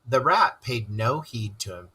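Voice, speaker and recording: A storyteller giving an expressive, dramatic reading of a children's story. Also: medium pitch, measured pace, husky. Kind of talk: scripted narration, children's story read-aloud.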